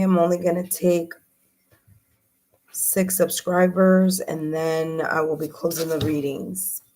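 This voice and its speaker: A woman with a slightly low, hoarse and raspy voice hosts a live tarot stream. She chats in a welcoming way, talking straight to her viewers and filling pauses with frequent ums and uhs. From New York. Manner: chatty, welcoming, with frequent fillers. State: hoarse, raspy from early morning and much talking.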